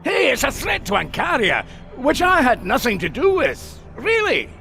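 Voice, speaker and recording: Gravelly, high-voiced shifty dwarf. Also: male voice, wildly swooping pitch, normal speed, sharp tone, slight background noise, full character voice. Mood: flustered, defensive. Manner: evasive, self-excusing, hesitant asides. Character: shifty, blustering male dwarf treasure hunter. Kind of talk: comic fantasy game acting.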